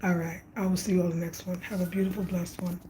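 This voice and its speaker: A woman's voice: fairly low pitch, little pitch movement, quick pace, mellow, a little hiss or hum. A woman in her fifties from New York, talking casually and reflectively about travel and spirit, repeating phrases for emphasis. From Brooklyn, New York. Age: 50s. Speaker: travel vlogger.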